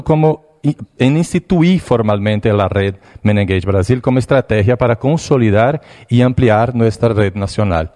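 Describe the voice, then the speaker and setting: A man from Brazil with a Brazilian Portuguese accent, whose first language is Portuguese, giving a slide presentation in a hesitant, halting way with frequent restarts. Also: mid-range voice, warm tone. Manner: hesitant, pausing, restarts words. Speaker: conference presenter.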